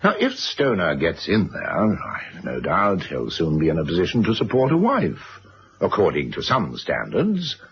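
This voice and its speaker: A man's voice: deep voice, huge pitch swings, moderate pace, breathy edge, full character voice. An actor playing a domineering, condescending middle-aged wealthy father in a radio drama. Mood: coolly sardonic. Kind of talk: 1970s radio drama acting. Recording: muffled, hissy old radio drama recording.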